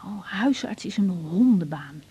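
Husky, mellow young doctor. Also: female voice, medium pitch, lively intonation, a little slow. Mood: weary, caring. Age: young adult. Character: overworked young woman doctor, caring and down-to-earth. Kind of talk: naturalistic radio drama acting.

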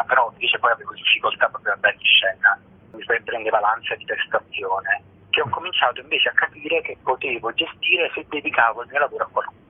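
Muffled, husky man speaking reflectively. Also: medium pitch. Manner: hesitant, searching for words, personal. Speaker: theatre actor and author, interview guest. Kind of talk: reflective conversational interview.

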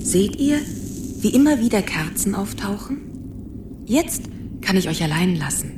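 A woman's voice: medium pitch, big pitch swings, a little slow, background noise. An actress playing a wise, protective female donkey in a radio play, earnest and reassuring as she cautions. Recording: distant voice in loud ambient sound.

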